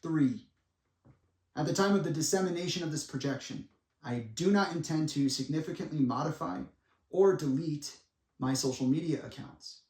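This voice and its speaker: A man in his twenties giving a monologue to viewers in a dramatic, deliberate way, with pauses and blunt asides. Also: on the high side, a little mellow. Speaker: speaker making a personal public statement. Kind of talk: semi-prepared monologue addressed to viewers. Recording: echoey room, distant microphone.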